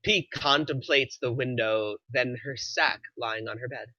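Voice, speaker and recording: A man's voice: medium pitch, unhurried, sharp tone, no room sound, highs cut off. A man narrating the stage directions of a screenplay at a table read, in scripted present-tense style.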